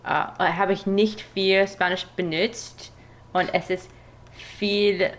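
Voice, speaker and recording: A woman with a foreign accent chatting casually in a language that is not her own, pausing to search for words. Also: mid-range voice, steady tone. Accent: non-native, foreign-accented German. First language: not German; speaks it as a foreign language. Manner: halting, pauses to search for words. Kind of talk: casual language-practice chat.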